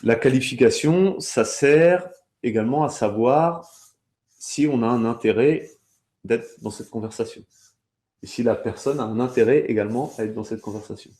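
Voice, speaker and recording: A man working as a sales trainer, explaining step by step in a conversational way, often pausing mid-phrase to rephrase. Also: medium pitch, darkish tone.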